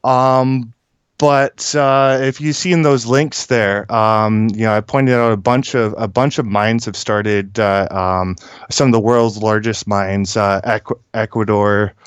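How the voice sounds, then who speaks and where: Male guest commentator, deep and clean-voiced, informal and opinionated, hesitant phrasing, plenty of ums. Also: steady tone, slow, smooth, dry recording. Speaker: guest caller, mining industry technician. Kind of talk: informal explanatory commentary on industry news.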